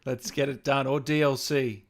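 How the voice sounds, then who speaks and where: A man hosting a casual gaming interview over a video call, asking questions in a relaxed chatty style. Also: medium pitch, normal speed. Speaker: interviewer, gaming show host.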